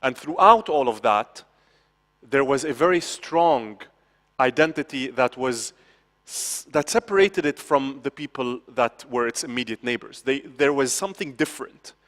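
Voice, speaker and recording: A man gives a conference talk in a casual, chatty way. He checks in with the audience and restarts his sentences often. His voice sits at a middle pitch, and a long hall reverb trails behind it. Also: animated, moderate pace, a bit bright. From Lebanon. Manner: conversational, frequent "okay?" asides and restarts. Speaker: conference speaker.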